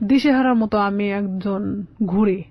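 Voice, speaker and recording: A woman's voice: medium pitch, moderate pace, no echo, highs cut off. A young woman with a Bangladeshi Bengali accent telling a personal life story as a guest in a radio interview. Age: young adult.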